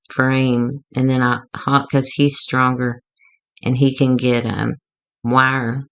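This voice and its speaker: A woman going hoarse as she gives a rambling, chatty, self-deprecating walkthrough of a craft project. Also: extremely low pitch. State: getting hoarse. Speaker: craft tutorial maker.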